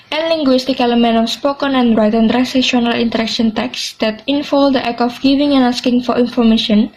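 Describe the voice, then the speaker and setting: A woman's voice: a little high, slight background noise, low-quality recording. A teenage young woman with an Indonesian accent, reading explanations as co-host of a student podcast. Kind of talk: scripted explainer read aloud with chatty links.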